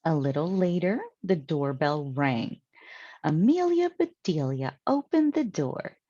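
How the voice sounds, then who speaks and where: A woman with an American accent reads a children's story aloud in an animated, deliberate way. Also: low-pitched, no echo, dull audio. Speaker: storytime reader.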